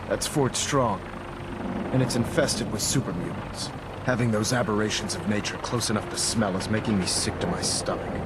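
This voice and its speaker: An actor playing a stern, zealous military commander in a post-apocalyptic game, speaking formally and with authority. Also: male voice, fairly low pitch, heavy background noise. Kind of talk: video game voice acting.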